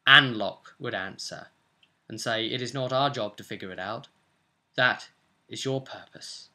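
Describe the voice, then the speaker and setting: A young British man chatting casually and emphatically to camera as a game master, rambling in an animated way. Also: mid-range voice, a little slow. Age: young adult. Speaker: tabletop role-playing game master and vlogger. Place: small furnished room at home, indoors. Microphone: camera or webcam at desk distance.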